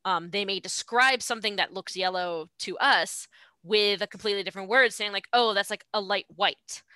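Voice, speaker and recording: Bright-voiced woman explaining thoughtfully. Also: mid-range voice, moderate pace, clean tone, no echo. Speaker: podcast guest discussing translation. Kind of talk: casual conversation.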